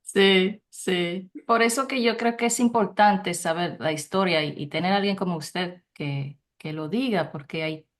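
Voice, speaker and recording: Woman from Ethiopia, lowish voice, conversational podcast host, restarts phrases. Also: a little slow. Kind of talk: podcast interview.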